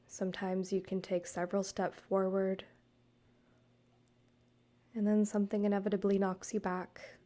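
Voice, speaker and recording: A woman guiding a meditation, speaking to the listener in a soothing, measured way with deliberate pauses. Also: medium pitch, completely flat delivery, mellow. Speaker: guided meditation host, counselor and yoga teacher. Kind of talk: gentle guided meditation narration, addressed to the listener.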